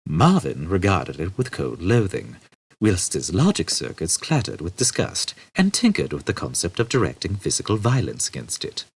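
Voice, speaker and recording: A man reading scripted comic science fiction as an expressive audiobook narrator. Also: low-pitched, normal speed, husky. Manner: expressive storytelling.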